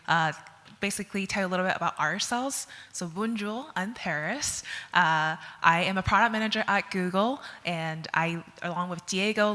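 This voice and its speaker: A woman's voice: lowish voice, pushed and bright. A woman from Taiwan in her thirties, a product manager giving a friendly conference talk with frequent "uh" fillers. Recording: long hall reverb.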